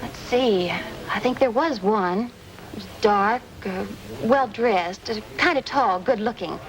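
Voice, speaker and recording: An actress playing a chatty, helpful coffee shop waitress in an old radio drama. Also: female voice, medium pitch, a little slow, a crowd in the background, natural voice, rough recording. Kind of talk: 1950s radio drama acting. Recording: old radio recording, faint hiss.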